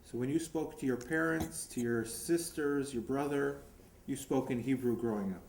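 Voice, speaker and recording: A man conducting an oral history interview, asking friendly, slightly halting questions. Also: mid-range voice, a little mellow, echoey room.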